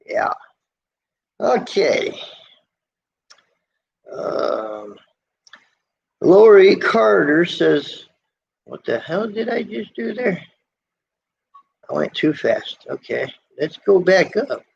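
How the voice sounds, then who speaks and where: Gravelly, squeaky-voiced trucker rambling on a livestream. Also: male voice, very animated, very slow, pauses often, a little mellow. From United States. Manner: rambling, folksy, with many fillers and restarts. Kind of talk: casual live chat reading viewer comments. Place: motel room. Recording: lo-fi livestream audio, faint noise.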